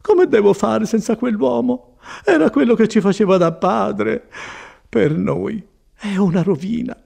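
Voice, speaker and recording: A man's voice: high voice, animated, moderate pace, warm tone, pitched above the performer's own voice. A man voicing a distressed, pleading peasant woman who laments in an audiobook story. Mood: tearful despair. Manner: plaintive, lamenting. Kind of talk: dramatized audiobook reading.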